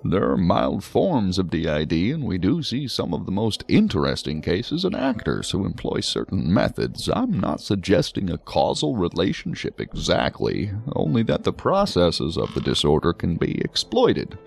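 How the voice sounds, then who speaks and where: An actor playing a talkative, learned psychiatrist who muses and explains at length in a science fiction audio drama. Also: male voice, low-pitched, wildly swooping pitch, moderate pace, gravelly, hardly any pauses, darkish tone, slightly rough, heavily acted. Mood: engaged, explanatory. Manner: wordy, musing, explanatory. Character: male psychiatrist, talkative, learned, genially hedging.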